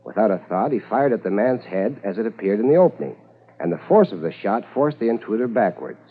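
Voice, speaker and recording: Calm police corporal for 1950s radio drama. Low, husky delivery, tinny sound. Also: male voice, brisk. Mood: measured, reporting. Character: capable police corporal detective, calm and matter-of-fact.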